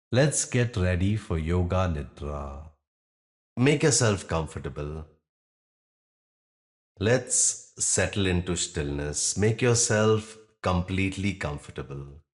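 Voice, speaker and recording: Deep-voiced, very slow male meditation guide. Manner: calm, measured, with long pauses between short phrases. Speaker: yoga nidra meditation guide.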